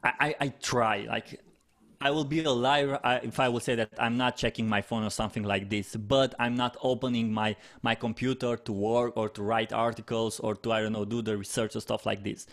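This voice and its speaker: A man's voice: medium pitch, steady tone, brisk, a bit bright. A young man, a native Romanian speaker, answering interview questions in English with many restarts and fillers. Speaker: podcast guest, content manager.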